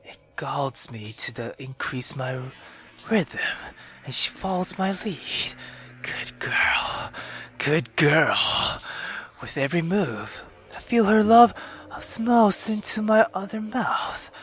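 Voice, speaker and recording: A man reading a visual novel aloud as dramatic narration, breathy and dropping into whispers. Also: sits high, expressive, unhurried, soft background music.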